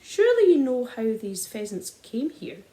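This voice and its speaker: A woman voicing a calm, sly country father who speaks softly and wryly. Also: on the high side, normal speed. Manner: soft-spoken, wry. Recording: roomy echo.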